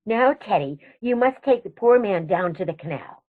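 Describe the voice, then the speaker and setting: A woman playing a sweet, proper elderly aunt in a radio play, genteel and gently bossy. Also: medium pitch, expressive, normal speed, narrow, tinny audio, close to an everyday voice. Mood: gently conspiring. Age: older.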